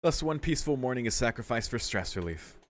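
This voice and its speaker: A man's voice: medium pitch, animated, fast, no echo. A man hosting a let's play, reading a visual novel aloud with informal, amused commentary. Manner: amused, sweary, informal asides.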